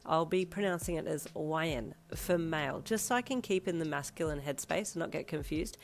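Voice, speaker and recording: A woman with a deeper voice, chatty and tomboyish, reading her own audio fanfiction. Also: a little fast, a little hiss or hum. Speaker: fanfiction reader.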